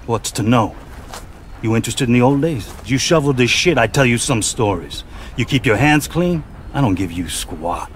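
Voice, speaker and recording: An actor playing a boastful, crabby old outlaw turned hog farmer in a Western game, crude and menacing, with a rural American accent. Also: male voice, medium pitch, animated, moderate pace, slight background noise, natural voice. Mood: taunting. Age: older. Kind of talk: video game cutscene acting.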